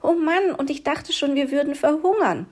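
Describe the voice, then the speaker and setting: A woman voicing a timid, panicky little mouse in a spoken fairy tale, squeaking in high-strung chatter. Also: expressive, normal speed, darkish tone. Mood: excited.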